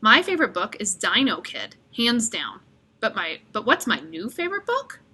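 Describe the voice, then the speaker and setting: A woman reading a children's story aloud with expressive delivery. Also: medium pitch, normal speed, breathy edge. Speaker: storybook reader.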